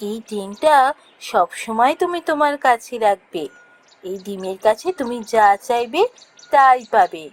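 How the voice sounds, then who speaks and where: A woman voicing a compassionate magical female bird in a fairy-tale cartoon, gentle and soothing. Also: a little high, animated, unhurried, voice pushed down. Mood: gentle concern.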